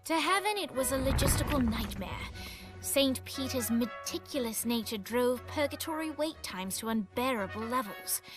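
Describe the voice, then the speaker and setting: A woman's voice: high voice, expressive, moderate pace, music in the background. A woman playing a composed storybook narrator in a video game, telling a scripted tale in a poised, articulate way. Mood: composed, wry.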